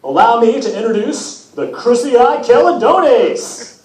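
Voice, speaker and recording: A man's voice: very high voice, sing-song, a little slow, a little mellow, voice pushed up, full character voice. A man voicing a breezy, self-satisfied young Greek god from a storybook, grand and beaming in manner. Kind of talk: storybook character reading. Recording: echoey room from far off, hissy noise.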